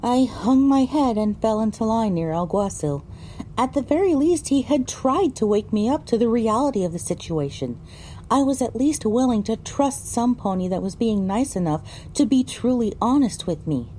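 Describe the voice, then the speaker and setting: A woman playing a pony who narrates her own ordeal in a measured, dramatic audio drama style. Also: a little high, lively intonation, dark tone, slight background noise, close to an everyday voice, low-quality recording. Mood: grave, reflective.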